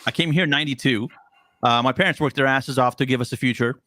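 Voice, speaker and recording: A man's voice: mid-range voice, fast, sharp tone, no echo. A man originally from Poland, a guest on a casual podcast, talking earnestly and emphatically, often repeating words as he starts a thought.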